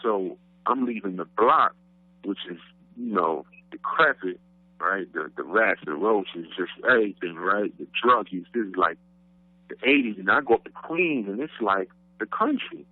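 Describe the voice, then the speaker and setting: A low-voiced man with a New York accent talks in an easy, laid-back way, dropping "you know what I mean" into nearly every thought. His voice comes through a tinny phone line and sounds very dry. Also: expressive, normal speed, no room sound. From Brooklyn, New York. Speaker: guest rapper. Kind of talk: casual interview talk.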